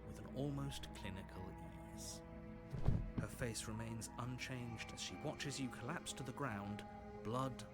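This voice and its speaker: A man playing a stern, controlling narrator in a visual novel game, deliberate and theatrical, with music under him. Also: mid-range voice, close to an everyday voice. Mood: stern, grave. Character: stern, controlling male narrator, exasperated and ominous. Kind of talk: dramatic second-person game narration.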